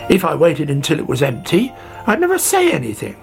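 A man voicing a greedy, cheeky young male caterpillar in a storybook reading, high and whiny. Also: very animated, slow pace, soft background music, exaggerated voice. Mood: snappy.

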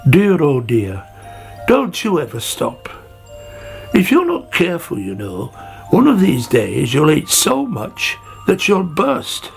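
A man's voice: mid-range voice, a little slow, hardly any pauses, darkish tone, soft background music, natural voice. A man voicing a grumbling older snail who scolds in a reproving way, in a children's story.

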